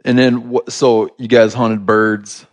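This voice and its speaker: A man's voice: medium pitch, level tone, takes it slowly. A man hosting a podcast, asking curious, probing questions in a casual interview. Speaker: podcast host.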